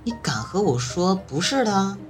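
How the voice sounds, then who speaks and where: Woman acting cheeky, very animated young roommate. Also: medium pitch, normal speed, lightly acted. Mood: teasing, bossy. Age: young adult. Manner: teasing, exaggerated, chatty. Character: cheeky, boastful, meddling college roommate, playful and theatrical. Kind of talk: campus audio drama acting. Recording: music playing behind the voice.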